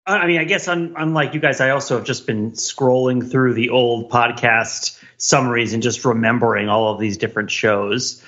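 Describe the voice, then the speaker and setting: A man's voice: mid-range voice, fairly even intonation, normal speed, hardly any pauses, fairly bright tone. A man co-hosting a podcast, giving rambling, mock-serious commentary on trivial things in a casual style. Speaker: podcast co-host. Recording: slightly dull, lo-fi audio.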